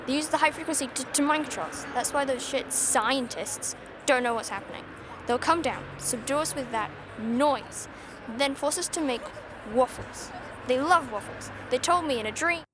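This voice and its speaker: A kooky conspiracy believer, acted by a young woman, rambles with casual conviction in a high voice. It sounds like a noisy outdoor vox pop in a radio drama. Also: moderate pace, lightly acted. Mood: paranoid conviction. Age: young adult. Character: kooky, paranoid alien-believing member of public.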